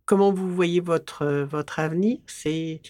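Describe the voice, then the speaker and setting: Podcast host, woman, low voice, calm and attentive. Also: unhurried, dry recording. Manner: calm, brief prompts and backchannels. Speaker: interviewer. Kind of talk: podcast interview.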